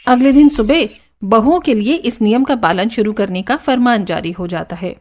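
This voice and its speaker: A woman narrating a cartoon story in an even storytelling manner. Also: a little high, normal speed. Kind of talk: scripted narration.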